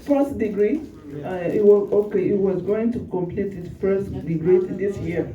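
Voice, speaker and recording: High-voiced man interpreting, halting. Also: dull audio, a little hiss or hum. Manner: halting, many restarts and fillers. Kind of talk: live church interpreting into English. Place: church hall. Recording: echoey hall from far off.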